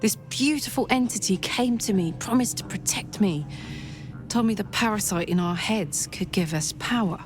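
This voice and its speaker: A woman's voice: medium pitch, moderate pace, over music, close to an everyday voice. A woman voicing a wary, down-to-earth adventurer in a video game, casual and wry. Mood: intrigued. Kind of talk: video game character dialogue.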